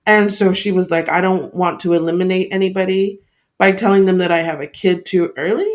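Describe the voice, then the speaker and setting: A woman's voice: on the low side, fairly even intonation, fairly quick. A woman co-hosting a podcast, giving her opinions in a casual, explanatory way and laying out her points one after another. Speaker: podcast co-host.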